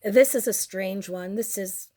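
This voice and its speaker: Sick young woman barely talking, voice mostly gone, slow drawn-out snarky commentary, swears a lot. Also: mid-range voice, dark tone. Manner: crude, sardonic, slow and drawn out. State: sick, nearly lost voice. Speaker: host. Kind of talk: casual commentary on photos.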